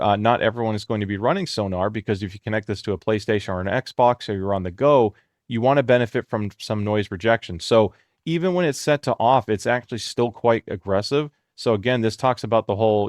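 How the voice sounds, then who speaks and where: A man's voice: fairly low pitch, a little fast, darkish tone, no echo, clean studio sound. A man casually explaining a headset's features on the headset's own mic, with occasional filler words. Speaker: tech reviewer. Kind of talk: product review walkthrough. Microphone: headset microphone under test.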